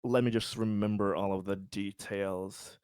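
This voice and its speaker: A man's voice: fairly low pitch, fairly even intonation, unhurried, darkish tone, clean tone, no echo. Man rambling through a game recap, close dry sound. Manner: rambling, sweary, self-amused. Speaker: tabletop RPG player. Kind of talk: casual post-game recap of a character's schemes.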